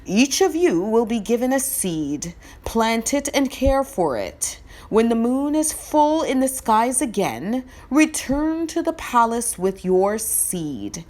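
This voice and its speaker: A woman voicing a solemn male royal messenger who reads out a proclamation in a formal, measured, declamatory way for a storybook. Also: a little high, big pitch swings, darkish tone. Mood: solemn, proclaiming.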